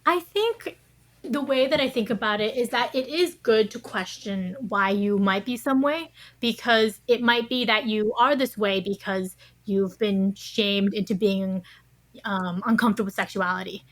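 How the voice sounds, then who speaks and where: Woman, medium pitch, podcast guest, thoughtful conversational answers, dry room-free recording. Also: moderate pace, no room sound. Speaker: interview guest, science writer.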